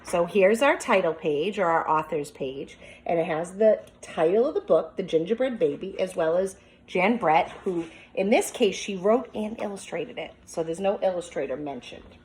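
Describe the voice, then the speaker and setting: A woman's voice: lowish voice, expressive, normal speed, few pauses, a little mellow, slight background noise, low-quality recording. A woman speaking as a teacher, reading a picture book aloud with commentary and prompting young listeners with questions as if to a class. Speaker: teacher demonstrating a children's read-aloud.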